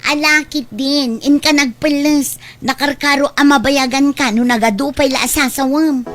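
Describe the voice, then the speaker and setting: Radio drama role: actress as blunt, impatient girlfriend type, notably high voice. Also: fairly even intonation, moderate pace, fairly bright tone, soft background music. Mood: teasing impatience. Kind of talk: Ilocano radio drama acting.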